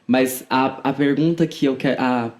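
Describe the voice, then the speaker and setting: Young woman, somewhat low-pitched informal speaker. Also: steady tone, normal speed, a little mellow. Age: 20s. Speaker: podcast guest, student activist. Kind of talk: conversational interview answers.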